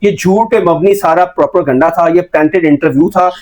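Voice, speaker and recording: A man's voice: on the high side, a little fast, a little mellow. A man with a Pakistani accent hosting an interview, pressing his guest with repeated, probing questions. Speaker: interviewer and host. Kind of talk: probing street-level YouTube interview.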